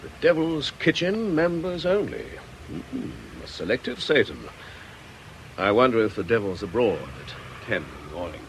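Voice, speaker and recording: An actor playing an earnest, reasonable British parish priest in a radio drama, measured and admonishing. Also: male voice, lowish voice, sing-song, a little hiss or hum, poor audio. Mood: wry, hesitant.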